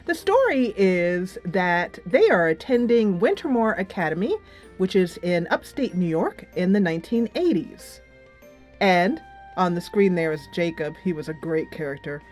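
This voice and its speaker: A woman's voice: lowish voice, big pitch swings, normal speed, darkish tone. A woman hosting a casual game review, talking in a chatty, loosely structured, emphatic way. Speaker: game reviewer and channel host. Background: music.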